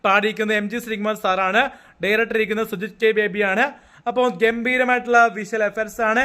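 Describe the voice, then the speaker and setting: A man talking casually as a promoter, halting and repeating himself. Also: high-pitched, level tone, sharp tone, smooth. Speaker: song promoter. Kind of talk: casual promotional talk with fillers.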